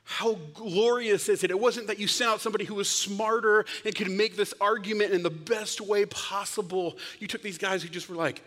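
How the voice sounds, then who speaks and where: Male preacher, brightish higher voice, storyteller who swings loud to whisper; long hall reverb. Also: fairly even intonation, moderate pace, hardly any pauses. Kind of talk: sermon.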